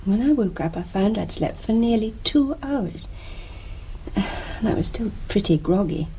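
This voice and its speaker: A woman's voice: mid-range voice, animated, measured pace, warm tone, slight background noise, rough recording. An actress playing a groggy, hesitant, apologetic woman in a radio drama. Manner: hesitant, drowsy.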